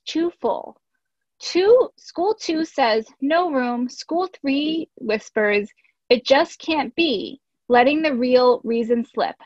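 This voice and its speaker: A woman reading a picture book aloud as a teacher, expressively, with instructive asides. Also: fairly high pitch. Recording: echoey room, dull lo-fi audio.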